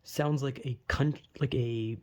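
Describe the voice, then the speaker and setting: A man's voice: mid-range voice, steady tone, slow pace, darkish tone. A young man vlogging in a rambling, self-deprecating way, full of "like" fillers, with a slight lisp. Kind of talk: casual rambling monologue.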